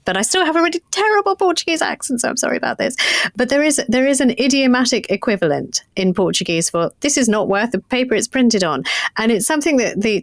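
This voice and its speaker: A woman co-hosting a history podcast, talking in a lively, animated way with frequent asides. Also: medium pitch, no room sound. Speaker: podcast co-host. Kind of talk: casual history podcast chat.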